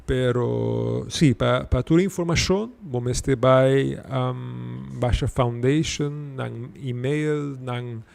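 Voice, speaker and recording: Very gravelly, unhurried man. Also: mid-range voice, fairly even intonation, darkish tone. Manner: halting, trailing off. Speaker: guest, artistic director. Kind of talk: conversational interview answers.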